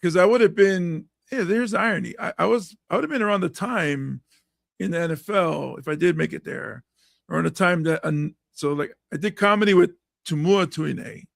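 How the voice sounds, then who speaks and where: A middle-aged man from Hawaii with a hoarse, raspy voice, hosting a podcast chat in a lively way, laughing often and acting out quotes. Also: sits high, normal speed, no echo, clean studio sound. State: hoarse from coaching. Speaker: podcast host and comedian.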